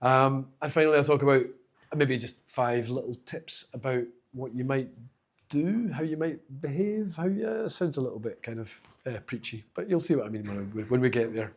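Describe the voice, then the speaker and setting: A man's voice: medium pitch, moderate pace. A man from Scotland with a Glaswegian accent, giving an informal, self-deprecating talk at a meetup on a handheld mic, with frequent restarts. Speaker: conference speaker. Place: meetup venue.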